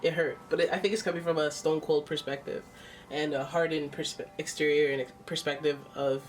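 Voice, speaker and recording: A woman's voice: low voice, fairly even intonation, fairly quick, distant mic, a little hiss or hum. A woman with a stuffy nose giving a casual, chatty tarot reading, exclaiming and thinking aloud as she turns the cards. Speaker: tarot reader.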